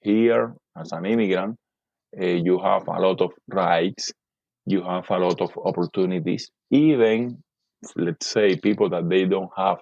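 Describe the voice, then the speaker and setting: A middle-aged man from Cuba with a Cuban Spanish accent, a native Spanish speaker, talking as a podcast guest in halting speech full of fillers and repeated words. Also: deep voice, vocal fry, darkish tone, no echo. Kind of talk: casual interview talk. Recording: dull lo-fi dry sound.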